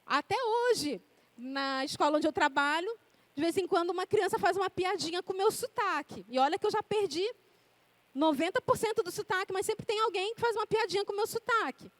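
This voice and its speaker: A woman's voice: very high voice, lively intonation, a little fast, no room sound. A woman in her forties preaching a sermon, reading scripture and then telling personal stories in a conversational way. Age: 40s.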